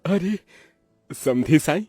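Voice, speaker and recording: Cartoon casting: male actor as humble, devout uncle, husky low voice, patient and proud, music underneath. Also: animated, slow, dark tone, dry recording, heavily acted. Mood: polite, humble. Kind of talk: cartoon story dialogue.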